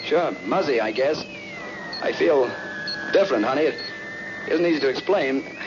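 An actor playing a lonely, dazed astronaut in an old-time radio drama, talking in a hesitant, searching, folksy way. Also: male voice, medium pitch, expressive, normal speed, close to an everyday voice. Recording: dull, noisy old radio recording.

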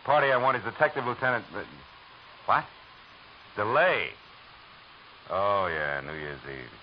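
An actor playing a terse, hard-boiled private eye in a 1940s radio drama, with clipped, dry narration. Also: male voice, on the low side, expressive, a little slow. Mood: tough. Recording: noisy dull old radio broadcast.

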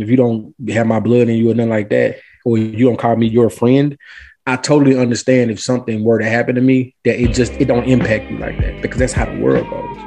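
Creaky-voiced man reasoning aloud. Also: medium pitch, dark tone. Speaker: podcast guest. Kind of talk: casual conversational podcast debate.

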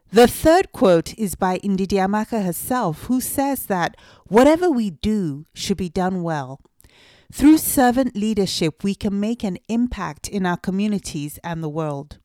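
A woman's voice: mid-range voice, darkish tone, dry recording, studio quality. A woman hosting a podcast interview, reading a formal introduction and then asking questions in a chatty way. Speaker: podcast host.

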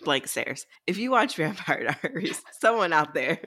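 A woman's voice: lowish voice, sing-song, normal speed, sharp tone. A woman co-hosting a book podcast, chatting casually with a wry, joking manner. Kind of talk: casual chat.

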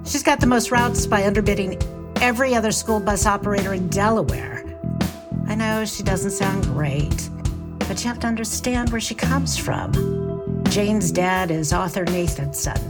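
A woman's voice: mid-range voice, expressive, moderate pace, lightly acted. A woman acting as an earnest, confiding bus operator narrating an audio drama in first person. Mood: confiding, loyal. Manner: confiding, matter-of-fact. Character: earnest, loyal woman bus operator telling her story. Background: prominent guitar music.

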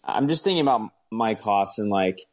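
A man's voice: lowish voice, lively intonation, moderate pace. A man co-hosting a sports podcast, chatting casually in a loose, rambling, conversational way. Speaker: podcast co-host.

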